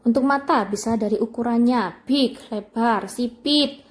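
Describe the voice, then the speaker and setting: Woman teacher with a high voice. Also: normal speed. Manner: explains in simple, plain steps. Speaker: English teacher. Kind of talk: classroom lesson explanation.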